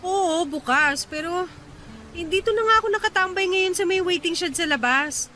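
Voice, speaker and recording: A woman's voice: very high voice, normal speed, sharp tone, soft background music. An actress in a Filipino radio drama playing an irritated, tired young woman stranded late at night, complaining in exasperation. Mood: weary complaint.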